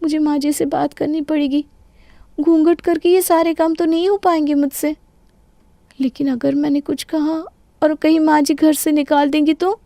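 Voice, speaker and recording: A woman's voice: level tone, moderate pace, creaky, dark tone, no echo. A woman voicing a young modern bride in a cartoon story, apologetic and pleading in a girlish, dramatic way. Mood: worried. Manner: pleading, apologetic, high-pitched girlish delivery. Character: young modern Indian bride, meek outside, determined and dramatic. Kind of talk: Hindi cartoon story dialogue.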